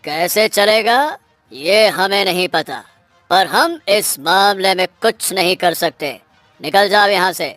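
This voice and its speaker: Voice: mid-range voice, slow, a bit bright, no room sound, faint music behind. A performer voicing a stern, haughty king in a Hindi cartoon, curt and imperious. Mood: cold, dismissive.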